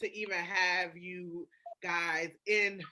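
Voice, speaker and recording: A woman's voice: lowish voice, steady tone, slow pace, sharp tone, a little hiss or hum. A woman chatting casually and candidly, emphatic and swearing freely, often repeating phrases for emphasis. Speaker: podcast co-host. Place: phone call.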